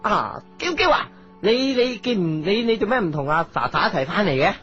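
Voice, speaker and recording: A woman's voice: medium pitch, very animated, a little fast, fairly bright tone. A woman voicing a fussy, rambling old wizard king with a Hong Kong Cantonese accent in a radio comedy drama. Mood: teasing, grumbling. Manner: rambling, hesitant, exclamatory. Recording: slightly dull audio over music.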